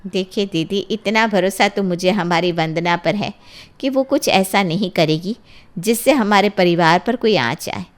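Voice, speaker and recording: A woman voicing a calm aunt in an audio drama, speaking gently and persuasively to settle a family quarrel. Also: medium pitch, measured pace, close to an everyday voice. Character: calm, reasonable aunt soothing an angry family.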